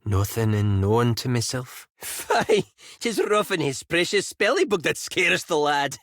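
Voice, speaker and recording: A man's voice: fairly high pitch, wildly swooping pitch, measured pace, fairly bright tone, no room sound, studio quality, heavily acted. A man doing a cheeky elf lass, swooping lilt. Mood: mischievous. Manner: folksy, teasing dialect speech. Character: cheeky, brash, earthy elf lass, teasing and bold. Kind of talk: scripted fantasy video game dialogue.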